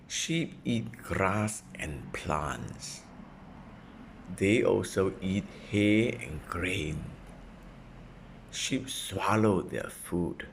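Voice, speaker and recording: A man reading a children's nonfiction book aloud as a parent would, in a deliberate way suited to a young child. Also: sits low, creaky, a little hiss or hum. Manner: deliberate, repeating and simplifying phrases for a child.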